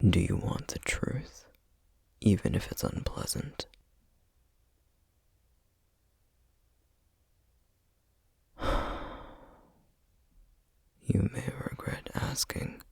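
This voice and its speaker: A man playing a solemn, guarded, protective flame demon in a whispered ASMR roleplay, speaking formally and hesitantly with a breathy, gravelly voice. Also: no echo, natural voice. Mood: reluctant, weary. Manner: slow, measured, formal, hesitant.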